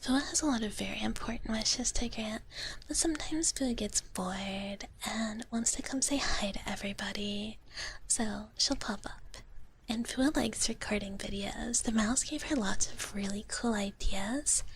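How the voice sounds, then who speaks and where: A woman voicing a gentle, shy dragon big-sister streamer, whispering breathily and haltingly in a soft ASMR chat. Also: mid-range voice, vocal fry, a little hiss or hum, low-quality recording. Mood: soft, friendly. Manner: hesitant, halting, soft-spoken, refers to herself in third person. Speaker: ASMR livestream host.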